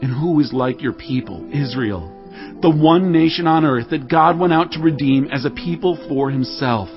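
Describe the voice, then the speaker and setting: A man's voice: a little high, normal speed, lightly acted. An actor playing a devout, fervent king of Israel in dramatized scripture, impassioned and declamatory, with music behind. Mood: reverent awe. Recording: muffled, band-limited audio.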